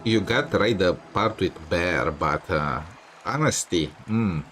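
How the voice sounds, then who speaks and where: Low-voiced man giving wry game commentary. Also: lively intonation, slow, fairly bright tone. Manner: wry, snarky asides. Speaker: gaming streamer playing a story game. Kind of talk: casual playthrough commentary.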